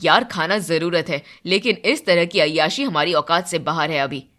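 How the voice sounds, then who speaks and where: A woman's voice: fairly low pitch, normal speed, fairly bright tone, lightly acted. A woman playing an earnest young husband in a story, reasoning and admonishing. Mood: reproving.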